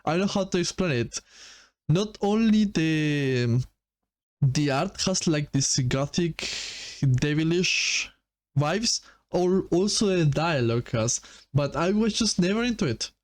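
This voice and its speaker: Higher-pitched man, halting game commentary. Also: lively intonation. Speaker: gaming video host. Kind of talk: casual game commentary and review.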